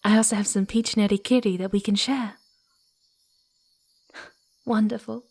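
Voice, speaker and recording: A woman voicing a playful, sweet, eager young female fox spirit in a soft ASMR roleplay, cooing and giggly, often slipping into breathy whispers. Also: mid-range voice, fairly even intonation, unhurried. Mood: curious, gentle. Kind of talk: ASMR roleplay, speaking softly to the listener.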